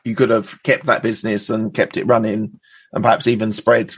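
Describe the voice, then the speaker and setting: A man's voice: on the low side, level tone, brisk, breathy edge. A man hosting an interview, asking questions in a loose, chatty way with fillers and restarts. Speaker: interview host. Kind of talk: conversational interview.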